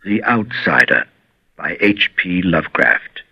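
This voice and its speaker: A man's voice: low-pitched, big pitch swings, takes it slowly, vocal fry, breathy edge, dry recording. A man giving a scripted closing announcement for a radio drama, on a muffled recording. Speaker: performer reading closing credits.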